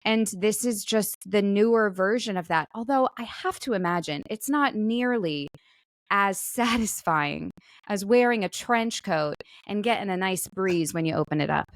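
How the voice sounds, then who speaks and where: A woman's voice: medium pitch, moderate pace, dry recording. A woman co-hosting a podcast in casual comedic chat, frank and wry in a conversational way. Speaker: podcast co-host.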